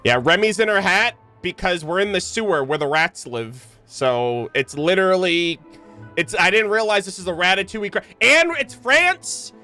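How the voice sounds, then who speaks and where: Animated, bright-voiced man streaming a game. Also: sits high, moderate pace, faint music behind. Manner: slangy, swears freely, excitable. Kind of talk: casual live gameplay commentary, reading game text aloud.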